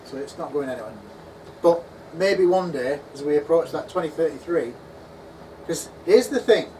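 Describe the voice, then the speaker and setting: A man giving an animated conference talk in a pub function room, acting out imagined voices as he goes. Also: a little high. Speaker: conference speaker. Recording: distant voice with faint room noise.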